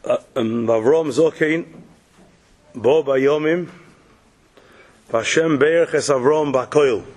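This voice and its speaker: A man with a cold, croaky, giving a morning Torah lecture as a rabbi, posing questions and answering them step by step. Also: medium pitch, slow pace, darkish tone. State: has a cold.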